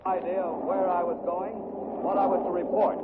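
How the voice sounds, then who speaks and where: An actor playing an earnest, formal radio correspondent reporting from a warship in a 1940s radio drama. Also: male voice, sits high, flat delivery, normal speed, lightly acted. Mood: earnest, inquiring. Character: earnest male radio correspondent aboard an ironclad in battle. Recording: very noisy, muffled vintage radio recording.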